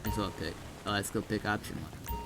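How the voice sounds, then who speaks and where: A man's voice: fairly low pitch, fairly even intonation, fairly quick. A man who streams games, talking casually through roleplay in a hesitant, rambling way and repeating himself. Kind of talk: casual gameplay talk and improvised roleplay. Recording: slightly noisy home gaming recording.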